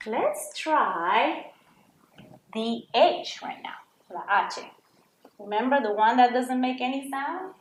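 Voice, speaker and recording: A woman with a Puerto Rican accent leads a children's language lesson at a very slow, patient pace. Her voice sits a little high, and she sounds out each word clearly and loudly for students to repeat. The room gives back a noticeable echo. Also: lively intonation, a little hiss or hum. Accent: Puerto Rican Spanish. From Puerto Rico. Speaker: Spanish teacher.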